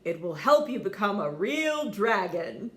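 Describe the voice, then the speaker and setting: A woman voicing a stern, demanding father dragon in a storybook, emphatic and forceful. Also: medium pitch, sing-song, unhurried, echoey room. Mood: encouraging.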